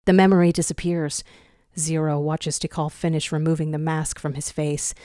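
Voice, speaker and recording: A woman's voice: sits low, steady tone, moderate pace, mellow, no echo, studio quality. A woman reading a dramatic scripted story as its narrator. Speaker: narrator of a fan fiction audio reading.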